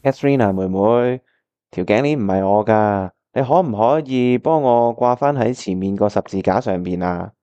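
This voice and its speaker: A man's voice: fairly low pitch, moderate pace, dark tone, no echo, muffled recording, lightly acted. A man playing an earnest, reflective bachelor in a Cantonese radio drama, with a Hong Kong accent. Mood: friendly. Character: earnest, reflective bachelor looking back on old love.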